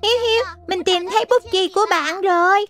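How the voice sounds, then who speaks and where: Very high-voiced sensible little child. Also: female voice, brisk, a bit bright. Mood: kind, advising. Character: kind, sensible little child giving gentle advice. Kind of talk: dubbed children's cartoon acting. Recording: music behind the voice.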